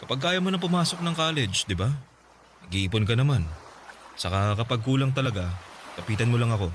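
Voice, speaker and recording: A man's voice: medium pitch, lively intonation, fairly quick, background noise, lightly acted. An actor playing a kind young man in a Tagalog radio drama, teasing and encouraging as he tutors the housemaid he likes. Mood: kind, reassuring.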